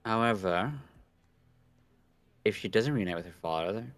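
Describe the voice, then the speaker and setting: Man playing a pompous, low-voiced young mad scientist. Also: moderate pace, no echo, natural voice. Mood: grandiose. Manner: grandiose, declaiming. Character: pompous young self-styled mad scientist, theatrical and scheming. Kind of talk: reading visual novel dialogue aloud.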